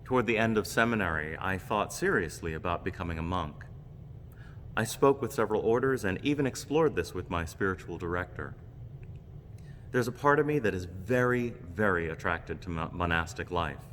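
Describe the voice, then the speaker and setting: A man giving a reflective homily with personal stories, speaking in measured, deliberate phrases. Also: low-pitched, lively intonation, a bit bright. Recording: long reverb, background noise.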